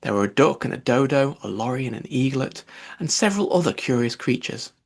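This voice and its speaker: A man's voice: mid-range voice, expressive, a little fast, vocal fry. A man reading a children's classic aloud as scripted audiobook narration.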